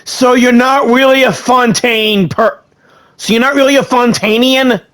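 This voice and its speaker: Slow-talking playful male streamer. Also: very high-pitched. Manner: playful, stumbling and excitable. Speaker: gaming streamer. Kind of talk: reading game choices and commenting.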